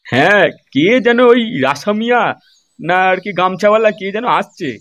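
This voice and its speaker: A man's voice: sits high, expressive, normal speed, noisy background. A man voicing a foul-mouthed old grandfather in an amateur comedy cartoon, grumbling coarsely and keen to dance and drink. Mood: chatty, cheerful.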